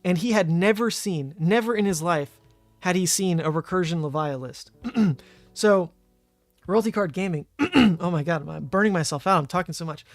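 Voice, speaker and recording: Hoarse, chatty man streaming live. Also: high-pitched, lively intonation, normal speed, no room sound, faint music behind. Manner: rambling and chatty, frequent "uh" and "like". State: hoarse, voice partly gone. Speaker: card game streamer. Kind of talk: casual livestream chat.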